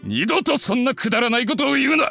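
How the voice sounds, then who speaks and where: A man's voice: very high voice, animated, racing, vocal fry, bright, forceful tone, heavily acted. A male actor playing a haughty, self-centered company boss in a visual novel, imperious and blustering. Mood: indignant. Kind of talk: Japanese visual novel acting. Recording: music behind the voice.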